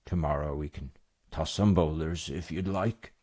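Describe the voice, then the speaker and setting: A man voicing a gruff, thundering grown mountain troll who is stern but caring, in a bedtime story. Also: moderate pace, a little mellow. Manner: booming, thundering.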